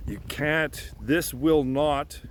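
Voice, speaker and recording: A man in a halting, rambling unscripted monologue, frustrated and insistent, swearing and repeating himself. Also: a little high. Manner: frustrated, insistent, profanity-laced; halting, repeats words and restarts. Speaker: amateur theorist making videos. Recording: noticeable background noise.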